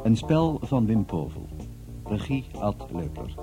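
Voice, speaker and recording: A man's voice: on the low side, sing-song, a little slow. A man reading a scripted announcement for a radio drama. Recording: muffled broadcast recording over music. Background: loud music.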